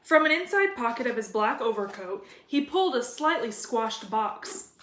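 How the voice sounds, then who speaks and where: A woman's voice: a little high, sing-song, moderate pace, fairly bright tone. A woman narrating a children's story as a read-aloud. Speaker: reader of a children's novel. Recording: roomy echo.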